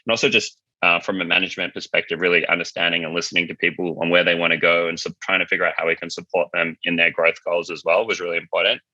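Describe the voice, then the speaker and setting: A low-pitched man speaks in a forceful, very bright voice. He chats informally as an interview guest, on a dry but slightly dull recording. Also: flat delivery, quick pace, hardly any pauses, no echo. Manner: informal, conversational, frequent "like" and "you know". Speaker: company founder being interviewed. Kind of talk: casual interview talk.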